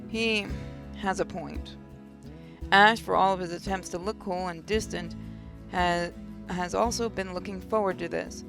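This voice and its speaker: A woman hosting a gaming channel, reading visual novel narration aloud as expressive first-person storytelling. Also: fairly low pitch, a little slow, over music.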